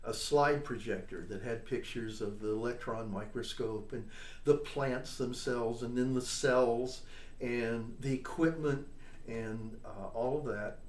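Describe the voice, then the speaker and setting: Older male interview guest, chatty storyteller, mid pitch, distant mic in a roomy space. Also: measured pace. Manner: chatty storyteller, tags points with "all right?" and "you know?". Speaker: interview guest, trombonist and composer. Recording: echoey room, distant mic, faint noise.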